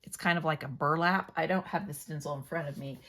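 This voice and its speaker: A hoarse, middle-aged woman talks through her crafting project in a low voice. Her tone is chatty and meandering, and she often corrects herself mid-sentence. The sound is picked up by a camera above her craft table at home. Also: fairly even intonation, brisk, fairly bright tone, slight background noise. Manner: chatty, rambling, self-correcting. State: hoarse from yelling at a game. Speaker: scrapbooking channel host. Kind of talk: casual crafting tutorial narration.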